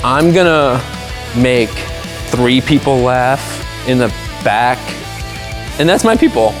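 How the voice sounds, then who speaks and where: Deadpan, self-deprecating man from Los Angeles, mid-pitched, interviewed over a guitar bed. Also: slow. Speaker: comedian interviewed about his comedy. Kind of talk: interview talk. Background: guitar music.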